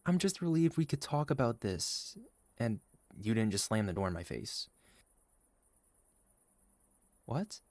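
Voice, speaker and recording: A man playing a sweet, caring, gently teasing boyfriend in a soft, reassuring ASMR roleplay. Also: medium pitch, very animated, moderate pace, no room sound. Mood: relieved, teasing. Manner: soft, tender, reassuring, playful. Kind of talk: ASMR boyfriend roleplay, intimate scripted monologue.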